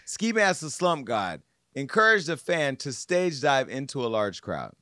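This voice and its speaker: A man speaks close into a studio mic with a very dry sound and a middle-pitched voice. He hosts in a casual, slangy way, sassy and mocking as he comments on viral news. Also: very animated, measured pace, pushed and bright, dry recording. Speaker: podcast host. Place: podcast studio. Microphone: close studio microphone on desk.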